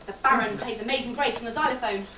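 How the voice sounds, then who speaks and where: A woman's voice: a little high, quick pace, crowd noise, lightly acted. A woman playing a chirpy young male servant who narrates a pantomime, declaiming to the audience in amateur stage style. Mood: dramatic storytelling. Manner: bright, declamatory, addressing the audience directly. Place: theatre or hall stage with audience. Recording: echoey hall, distant mic, audience noise.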